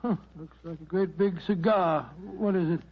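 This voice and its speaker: Earnest gentleman companion, high voice, polite and wondering, muffled vintage radio drama. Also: normal speed. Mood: curious. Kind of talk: 1940s radio drama acting. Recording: dull vintage radio recording.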